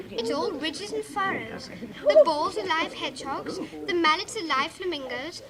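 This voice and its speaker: A young female performer playing a polite, self-assured little girl with a prim, forthright manner in a 1950s British audio drama. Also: extremely high pitch. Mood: wondering.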